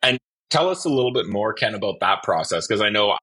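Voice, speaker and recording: A man's voice: on the low side, big pitch swings, fairly quick, pushed and bright, slightly rough. A man hosting a podcast, interviewing in a conversational way.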